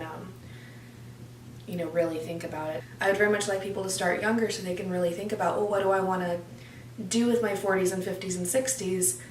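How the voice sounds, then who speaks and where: Low-voiced woman in an echoey room. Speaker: death advocate and consultant. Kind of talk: expert interview. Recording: echoey, distant mic, faint noise.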